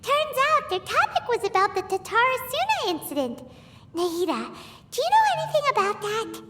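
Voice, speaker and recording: A tiny fairy sidekick, extremely high and squeaky, swooping wildly as she exclaims and chatters like an excitable child. It is video game dialogue over clear background music. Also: normal speed, full character voice. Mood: chatty, curious. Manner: refers to self in third person, exclaiming. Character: small excitable fairy-like companion, childlike girl. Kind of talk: video game character acting.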